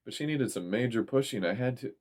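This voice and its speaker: Guy doing a jumpy confused young woman, raised pitch, kinda annoyed. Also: lively intonation, brisk, a little mellow, natural voice. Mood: nervous. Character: nervous, bewildered young woman, easily annoyed.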